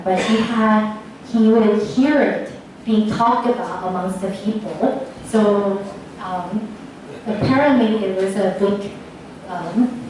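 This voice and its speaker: Slow-paced woman lecturer in an echoey room. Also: on the high side, darkish tone. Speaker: lecturer presenting research on radio dramas. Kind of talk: audience question-and-answer after a lecture. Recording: echoey room, distant mic, faint noise.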